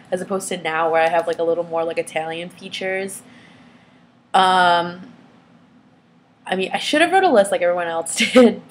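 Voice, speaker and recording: A young woman whose first language is Vietnamese speaks casually to her camera in a somewhat low voice. She hesitates often, filling gaps with small fillers and restarting phrases. A faint background hiss sits under her voice. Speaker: vlogger.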